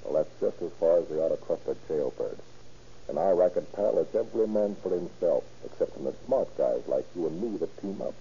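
A man's voice: low voice, lively intonation, a little fast, warm tone. An actor playing a cocky, scheming American convict with pushy, slangy tough-guy talk in an old radio crime drama. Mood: scheming, persuasive. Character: cocky, scheming male convict plotting a jailbreak. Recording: dull, noisy old radio recording.

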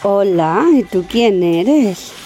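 A woman plays a spoiled, bored heiress who flirts openly in an amateur radio soap. Her voice is mellow and mid-pitched, with faint music behind it. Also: animated, drawn-out words. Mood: flirtatious.

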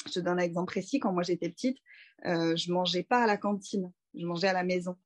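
A woman in her thirties from France talks through her life in a relaxed, reflective way. Her voice sits on the low side, and she often pauses on fillers and restarts her sentences as she thinks. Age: 30s. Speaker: doula and interview guest. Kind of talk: casual conversational interview.

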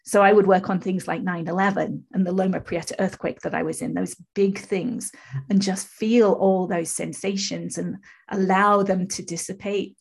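A woman in her fifties with a husky, creaky voice, earnestly telling her personal story as an interview guest. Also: medium pitch, flat delivery, moderate pace, a little mellow. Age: 50s. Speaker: podcast guest, spiritual teacher.

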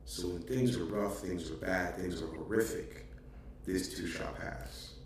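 A man's voice: low-pitched, measured pace, distant mic. A man in his thirties, a podcast co-host, talking earnestly and reflectively in casual chat with many filler words. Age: 30s. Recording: echoey, off-mic with faint noise.

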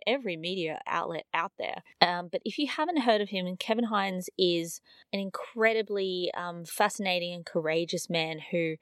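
A woman hosting a podcast, introducing an episode in an earnest, chatty way with many fillers, her voice a little raspy and nasal. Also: medium pitch, no echo, studio quality. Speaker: podcast host.

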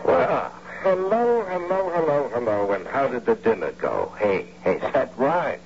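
Bitter sarcastic husband, old radio drama, gravelly, pitch all over the place, muffled recording. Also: male voice, big pitch swings, takes it slowly, background noise. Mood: drunken bitterness. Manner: theatrical, sardonic, brooding. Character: big, embittered husband, sarcastic, wounded pride, often drunk. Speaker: actor playing lead and narrator.

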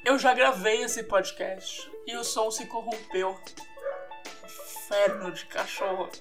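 A woman's voice: medium pitch, slow pace, music in the background. A woman with a Brazilian accent hosting a podcast in a casual, chatty, informal and playful way. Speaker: podcast host.

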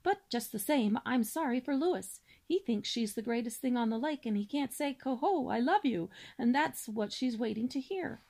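A practical mother swan with a dry, matter-of-fact way of talking, put on by a woman reading aloud. Her voice sits a little high with a gravelly edge. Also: lively intonation, moderate pace, hardly any pauses, darkish tone. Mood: fretful.

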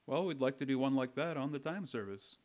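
A man's voice: medium pitch, fairly quick, a little mellow, close to an everyday voice. A man playing a polite, curious magazine reporter in a radio drama, affably asking questions. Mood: affable, eager.

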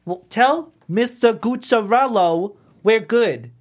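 A woman's voice: a little high, lively intonation, slow. A woman playing a cocky, wisecracking young male slacker in a casual comedy table read. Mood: sarcastic. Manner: casual, teasing, sarcastic.

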